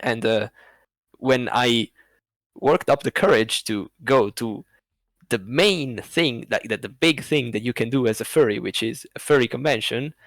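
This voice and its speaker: A man as a podcast guest, telling a story in a rambling, animated way with laughs between phrases. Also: mid-range voice, unhurried, dry recording. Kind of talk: casual podcast chat.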